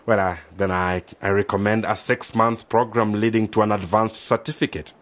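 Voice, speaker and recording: A man's voice: lowish voice, steady tone, moderate pace, close to an everyday voice. A man acting in a radio drama as a genial, helpful institute director, formal and patient as he explains things.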